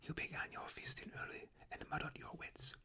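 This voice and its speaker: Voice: brisk, lightly acted. A reader voicing merry, impatient cellar elves with a French accent, in a whisper. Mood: teasing. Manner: teasing, impatient. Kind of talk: whispered ASMR storytelling.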